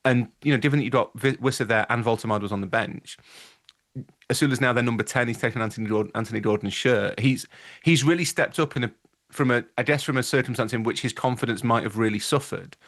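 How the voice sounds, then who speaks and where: A man's voice: mid-range voice, quick pace, fairly bright tone. A man giving conversational football analysis as a pundit, musing aloud and qualifying his points as he goes.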